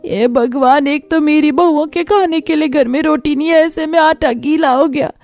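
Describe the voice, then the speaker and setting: A poor old village mother-in-law from a cartoon tale, voiced by a woman. She is self-sacrificing and sorrowful, pleading in a plaintive, often raised pitch. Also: normal speed, darkish tone, dry recording, studio quality. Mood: despairing.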